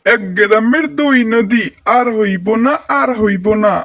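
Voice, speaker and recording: A man's voice: sits high, a little slow, a bit bright. A man voicing village men in a cartoon, speaking in a declamatory way. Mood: indignant. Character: village men: angry villagers, calming council member, friendly snack vendor. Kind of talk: cartoon voice acting.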